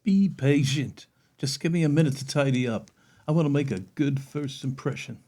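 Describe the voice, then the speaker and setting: A man's voice: mid-range voice, normal speed, dark tone, close to an everyday voice. A man playing a secretive, controlling celebrity chef with sinister charm in a readers theater audio play. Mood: coaxing, evasive.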